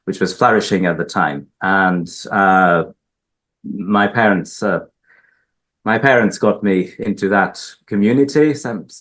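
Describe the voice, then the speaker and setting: A man's voice: low-pitched, measured pace, a bit bright. A man from Hungary, a linguist answering interview questions, talking hesitantly with many fillers and restarts. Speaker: linguist guest. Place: research institute building.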